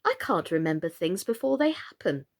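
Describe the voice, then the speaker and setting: A woman's voice: lowish voice, very animated, moderate pace. A woman voicing a polite, sensible, slightly timid young storybook girl in a civil, earnest way. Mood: puzzled.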